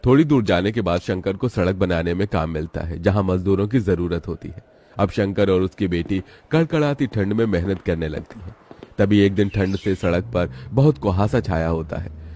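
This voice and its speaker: A man's voice: low-pitched, moderate pace, mellow, slight background noise. A man narrating a scripted story as its storyteller.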